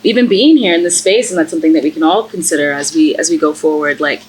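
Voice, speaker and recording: A woman with a low-pitched voice answers questions on a conference panel. She pauses often, with many ums and restarts. She is in a conference session room. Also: a little hiss or hum. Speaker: panelist, archive founder.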